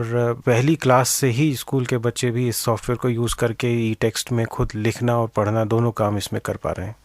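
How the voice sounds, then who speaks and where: A man's voice: medium pitch, dry recording. A man with an Indian accent giving methodical explanatory interview answers, mixing English phrases into his speech. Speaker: interview guest, organisation director.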